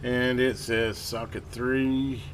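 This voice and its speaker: A man giving casual hands-on commentary as a hobbyist host, halting and muttering to himself as he works. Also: mid-range voice, flat delivery, a little hiss or hum. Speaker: vintage radio hobbyist hosting a repair video.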